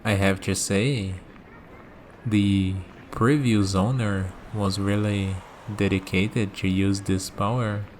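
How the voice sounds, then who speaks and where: Guy doing a calm curious villain, asmr rp, really slow dry musing delivery, noticeable noise in the background. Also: fairly low pitch. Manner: musing, dryly teasing, unhurried. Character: calm, curious young male villain bargaining with a death god. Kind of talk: scripted ASMR roleplay.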